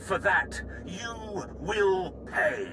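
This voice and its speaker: An actor playing a vengeful, theatrical villain in a video game, taunting and gloating. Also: male voice, high-pitched. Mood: vengeful fury. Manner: slow, taunting. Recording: very loud vehicle-like ambient noise.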